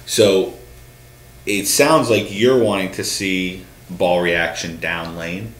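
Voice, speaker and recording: A man's voice: sits low, steady tone, takes it slowly. A man in his thirties co-hosting a bowling talk show at a studio desk, explaining at length with lots of restarts and hand gestures. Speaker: bowling show co-host answering questions. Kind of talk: casual studio chat. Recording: roomy studio echo with faint hum.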